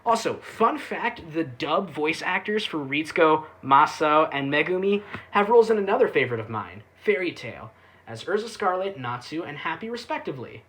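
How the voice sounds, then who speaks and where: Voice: medium pitch, big pitch swings, moderate pace, fairly bright tone. A reviewer giving a casual, sarcastic recap with irreverent, sweary delivery. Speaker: YouTube anime reviewer.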